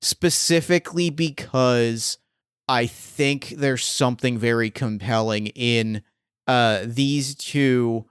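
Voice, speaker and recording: A man's voice: medium pitch, takes it slowly, a bit bright, clean tone, dry recording, clean studio sound. A man acting as game master, thinking aloud with many fillers and restarts as he sets up a scene. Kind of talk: improvising a tabletop game scene.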